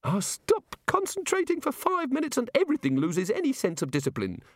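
A man voicing an anxious, absent-minded male god who talks in a high, puzzled, fussy way. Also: wildly swooping pitch, a little fast, a bit bright, dry recording, studio quality, heavily acted. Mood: fretful.

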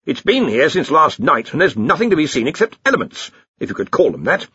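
A man's voice: on the high side, big pitch swings, brisk, pushed and bright, slightly rough, no echo, highs cut off, pitched above the performer's own voice, full character voice. A man voicing a blustering, bossy older wizard who runs a university, brusque and dismissive, in an audiobook. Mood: gruff, dismissive.

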